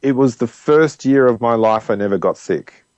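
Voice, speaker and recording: A man's voice: mid-range voice, normal speed, darkish tone, no echo, muffled recording. A man speaking conversationally as an interview guest, explaining health ideas and telling personal anecdotes, emphatic when quoting others.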